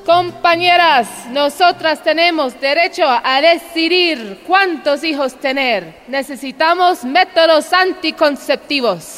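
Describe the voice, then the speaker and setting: An earnest feminist orator in a radio play. She declaims slowly in a high voice that carries long hall reverb, in a rhetorical, militant manner. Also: fairly bright tone, faint music behind. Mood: insistent. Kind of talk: radio drama speech.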